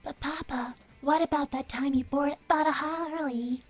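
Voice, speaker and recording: A woman playing an earnest, devoted young artificial-intelligence daughter, soft and breathy, speaking politely and precisely in livestream banter. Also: high voice, fairly even intonation, measured pace, faint music behind. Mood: innocently prodding. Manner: polite, precise, matter-of-fact. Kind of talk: in-character improvised livestream banter.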